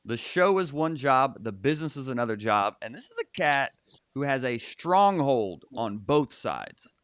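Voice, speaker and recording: A man with a smooth, resonant voice at an ordinary pitch hosts a comedy podcast. He builds up his guest with eager, show-style introductions, recorded close and dry. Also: very animated, moderate pace, a bit bright, no echo. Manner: enthusiastic, hype-building introductions. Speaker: podcast host. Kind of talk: casual podcast chat.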